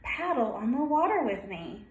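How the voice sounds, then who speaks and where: A woman's voice: a little high, big pitch swings, moderate pace. A woman playing a patient mother duck in a storybook, coaxing and reassuring. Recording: roomy echo.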